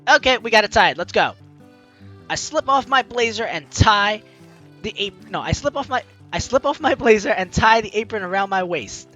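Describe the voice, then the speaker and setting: A woman's voice: mid-range voice, lively intonation, normal speed, bright, forceful tone, soft background music. A young woman hosting a let's play, reading game text aloud theatrically with playful, chatty asides. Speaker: gaming YouTuber, host.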